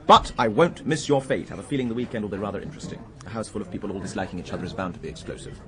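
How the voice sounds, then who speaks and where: A suave upper-class English gentleman played by an actor talks in a very deep, quick voice. He is cynical, urbane and teasing, in radio drama style, with busy chatter behind him. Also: expressive, natural voice. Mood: amused, cynical. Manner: urbane, drawling wit, mocking. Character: suave, cynical gentleman, war survivor, teasing a lady friend.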